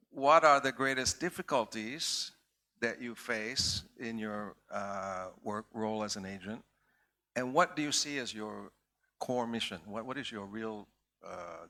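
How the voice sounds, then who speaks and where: A man moderating a festival panel, asking questions haltingly with many pauses. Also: mid-range voice, pushed and bright. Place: festival stage.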